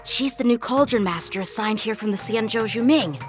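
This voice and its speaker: A woman's voice: a little high, normal speed, music in the background, lightly acted. A woman voicing an earnest, conciliatory port official in a video game. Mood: weary, diplomatic. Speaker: voice actor in a game. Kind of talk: game cutscene dialogue.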